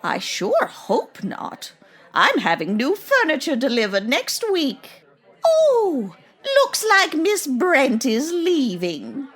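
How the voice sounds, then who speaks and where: Casting: sweet oblivious old granny played by a woman, high, extremely animated, for comic radio play. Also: slow, full character voice. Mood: chatty, doting. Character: sweet, chatty, oblivious old grandmother hostess. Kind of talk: old-time radio comedy acting.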